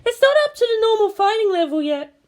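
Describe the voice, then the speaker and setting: Female operations director acted by a woman narrator, brisk, very high register, animated, matter-of-fact storybook officer. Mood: businesslike.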